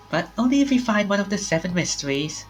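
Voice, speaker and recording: A streamer reading a visual novel's dialogue aloud, swinging their voice up and down between lines. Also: medium pitch.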